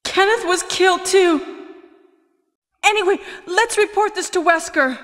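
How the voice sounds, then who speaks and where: High-voiced, earnest young policewoman. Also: unhurried, fairly bright tone, no room sound, studio quality. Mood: puzzled. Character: earnest young woman police operative in a horror game. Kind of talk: stilted 1990s video game voice acting.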